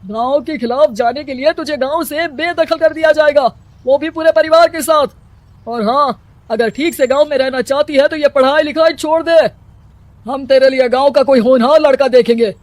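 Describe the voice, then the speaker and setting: A performer voicing a stern, domineering male village headman in an animated moral story, commanding and scolding. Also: high-pitched, moderate pace, no echo, lightly acted. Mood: angry.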